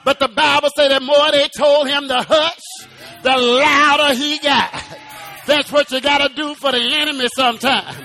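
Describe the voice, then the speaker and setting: A man preaching a sermon in church, shouting and half-singing rhythmic lines and calling out repeatedly to the congregation. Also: very high voice, fairly even intonation, normal speed, soft background music. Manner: repetitive call-outs to the congregation.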